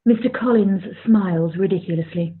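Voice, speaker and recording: A woman's voice: mid-range voice, moderate pace, close to an everyday voice. A woman narrating scripted stage directions for a radio play. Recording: thin phone-like sound.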